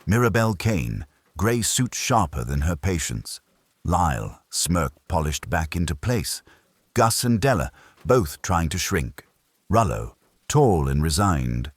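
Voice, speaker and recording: A man with a husky voice reading a scripted first-person detective story. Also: sits low, very animated, takes it slowly, no echo. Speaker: audiobook narrator.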